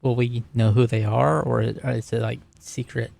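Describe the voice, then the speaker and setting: A man playing a tabletop roleplaying game, talking casually and describing his character's actions in a halting way. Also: mid-range voice, flat delivery, vocal fry, darkish tone.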